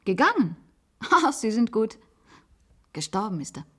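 An actress playing a wistful, resigned former secretary in a German science-fiction radio play, confiding in halting phrases. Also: female voice, on the high side, very animated, slightly rough, exaggerated voice. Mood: chatty. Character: wistful former secretary, resigned patient living in an isolated institute.

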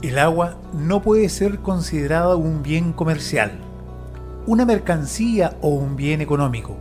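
A narrator with a mid-pitched voice delivers scripted, factual narration. Music plays clearly underneath throughout. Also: lively intonation, a little slow.

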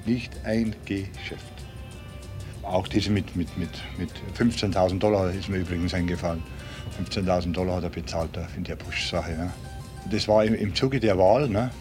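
A man's voice: deep voice, steady tone, normal speed, music in the background. A man being interviewed, talking chattily and tagging his sentences with little questions. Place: Miami.

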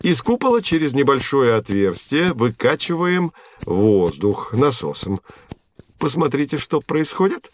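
An actor playing a patient male physics professor in an educational audio drama, explaining clearly and didactically. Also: mid-range voice, very animated, measured pace, slight background noise. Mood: instructive.

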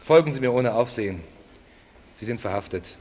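An actor playing a confident police inspector in a German crime radio drama, clipped and matter-of-fact. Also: male voice, mid-range voice, expressive, moderate pace, heavy background noise, lightly acted. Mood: commanding. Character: brisk, confident police inspector running a stakeout.

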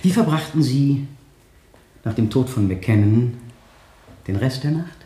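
A man acting a probing prosecutor in a German radio play, putting measured, pointed questions to a witness. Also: medium pitch, expressive, warm tone, close to an everyday voice. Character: probing male prosecutor cross-examining a witness. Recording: echoing 1970 radio drama courtroom.

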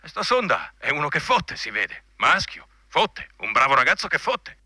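A man's voice: on the high side, very animated, moderate pace, pushed and bright, dry recording, studio quality, full character voice. An actor playing a coarse, bigoted male army general in a radio drama, blunt, commanding and insinuating. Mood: chummy, insinuating. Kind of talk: Italian radio drama acting.

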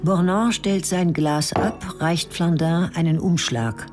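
A woman's voice: fairly low pitch, slow pace, a little mellow, faint music behind. A woman narrating a radio drama in clipped, fragmentary present-tense phrases.

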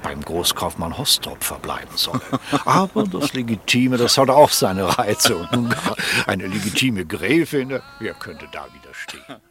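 An actor playing a talkative, amused Danish baron at court, gossiping with urbane, courtly politeness in a German period radio play. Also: male voice, fairly low pitch, big pitch swings, measured pace, fairly bright tone, exaggerated voice. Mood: amused, gossipy. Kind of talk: German radio drama acting, period piece.

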